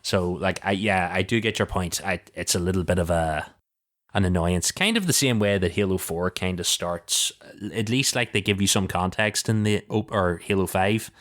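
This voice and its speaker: A man's voice: sits low, normal speed, fairly bright tone. A man chatting casually as a podcast panelist, talking informally and thinking aloud.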